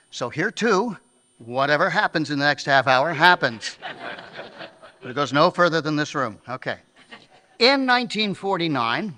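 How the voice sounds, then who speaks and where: A man's voice: on the high side, bright, forceful tone. A man giving an informal, chatty live introduction as a presenter, recorded in an echoey hall before an audience.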